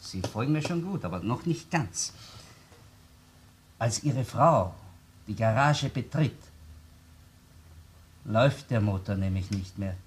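A man's voice: lowish voice, lively intonation, slow, pauses often, slight background noise. A man playing a sardonic, relentless police interrogator in a radio crime drama, with a Viennese accent and taunting, repetitive questions. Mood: quietly triumphant. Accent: Austrian, Viennese. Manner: taunting rhetorical questions, repeats words, tag questions. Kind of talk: German-language radio crime drama acting.

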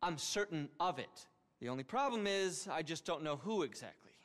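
An actor playing an eccentric, self-important tech billionaire in a game, grandiose and petulant, talking as if giving a keynote speech. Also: male voice, a little high, expressive, normal speed, fairly bright tone. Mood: urgent, grandiose. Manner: pompous keynote-speech delivery. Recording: reverberant hall sound.

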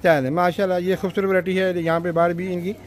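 A man's voice: high-pitched, little pitch movement, fast. A man who runs a shopping vlog. He tours the stalls of a busy indoor bazaar, talks to the sellers and keeps saying mashallah. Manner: upbeat, says mashallah often. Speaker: vlogger touring shops. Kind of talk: walkaround shopping vlog chat.

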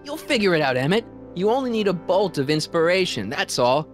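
An actor playing an earnest, anxious teenage time traveller in a video game, casual and wry with some hesitancy. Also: male voice, high voice, very animated, fairly quick, soft background music. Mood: coaxing. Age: young adult.

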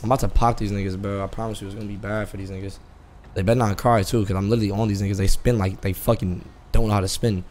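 A man's voice: lowish voice, fairly even intonation, very fast, darkish tone, slight background noise. An American man chatting on a casual gameplay stream in brash, profane, repetitive street slang. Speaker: live streamer playing a GTA roleplay game.

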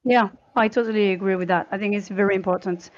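A woman's voice: mid-range voice, steady tone, quick pace. A woman in her thirties giving her opinion on a panel over a headset, searching for words. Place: room at home, on a video call. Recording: slightly dull video call audio.

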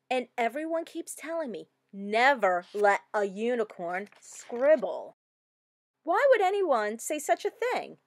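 A woman reading a storybook as an earnest, excitable little girl, with dramatic expression. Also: on the high side, no room sound, studio quality, heavily acted. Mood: puzzled. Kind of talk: scripted storybook narration.

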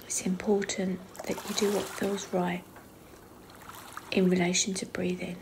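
A woman leading a guided visualisation meditation in a soft, breathy, half-whispered voice with slow, unhurried phrasing. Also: lowish voice, monotone. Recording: clearly noisy, trickling water ambience.

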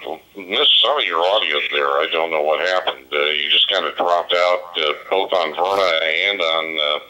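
A man chatting casually and haltingly as an amateur operator over a digital two-way radio, heard from a handheld's speaker. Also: sits low, sharp tone, poor audio. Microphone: voice played through portable radio speaker.

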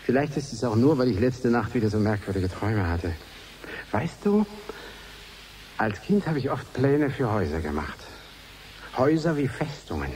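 A man's voice: medium pitch, big pitch swings, a little mellow, lightly acted. An actor playing a reflective, sentimental and fatalistic man in a radio play. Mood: melancholy. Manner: reflective, confiding, in short measured phrases. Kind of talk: 1980s German radio play acting. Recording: slightly hissy archive radio recording.

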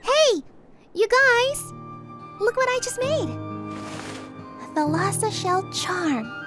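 Very high, cheerful girl played by a woman. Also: very animated, extremely slow, full character voice. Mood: cheerful, playful. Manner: bright-spirited, earnest. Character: cheerful, teasing, hopeful young girl. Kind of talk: manga dub voice acting. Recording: loud music under the voice.

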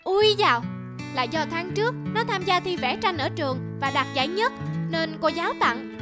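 A woman's voice: extremely high pitch, lively intonation, normal speed, over music. A woman voicing a boastful, competitive little schoolgirl in a cartoon. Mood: resentful. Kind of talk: cartoon dialogue.

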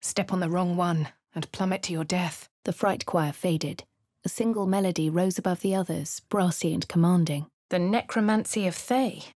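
An actress voicing a posh female adventurer with an English accent, speaking in a breathy, often half-whispered way. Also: on the low side, moderate pace, darkish tone, no room sound. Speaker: voice actor for a player character. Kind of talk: video game voice lines. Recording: dry studio booth.

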